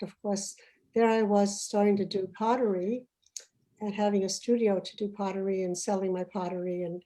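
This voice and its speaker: A woman's voice: mid-range voice, mellow, low-quality recording. A middle-aged woman from Uganda hosting an online panel, chatty and often trailing off mid-sentence. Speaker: panel host. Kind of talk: casual online panel talk. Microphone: video call.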